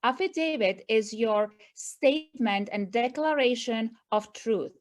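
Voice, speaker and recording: A woman's voice: fairly high pitch, unhurried. A middle-aged woman from Czechoslovakia with a Czech accent, speaking earnestly to a group as the founder of an advocacy movement and often adding "right?" to her points. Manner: earnest, repeats points with tag questions. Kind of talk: speech to a group meeting.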